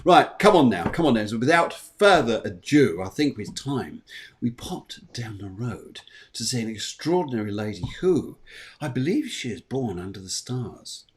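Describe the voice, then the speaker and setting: Male host, chatty and jovial, for livestream morning chat; repeats his phrases, medium-pitched voice. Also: big pitch swings, moderate pace. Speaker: show host.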